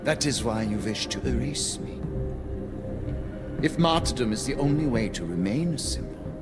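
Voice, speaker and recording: Male voice, medium pitch, wide intonation, actor playing an embattled pacifist leader, eloquent, solemn, formal, game drama, loud music. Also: animated, moderate pace, lightly acted. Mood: defiant, solemn. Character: principled, embattled pacifist leader of a rights movement. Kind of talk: video game cutscene acting.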